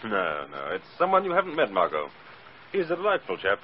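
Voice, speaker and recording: Urbane rich young gent, 40s radio, low lively voice, muffled recording. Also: male voice, brisk, slight background noise. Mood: genial, admiring. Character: urbane, genial wealthy young man about town. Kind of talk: 1940s radio acting.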